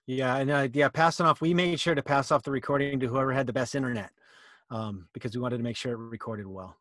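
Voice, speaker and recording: A man's voice: mid-range voice, quick pace, a bit bright, dry recording, studio quality. Man thinking aloud on a video call. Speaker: workshop presenter. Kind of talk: casual Q&A explanation. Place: small cluttered indoor room.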